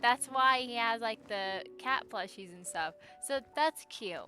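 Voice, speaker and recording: A woman's voice: on the high side, unhurried, pushed and bright. A young adult woman chatting casually and reacting to a game on a livestream. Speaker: game streamer. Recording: game music faintly behind.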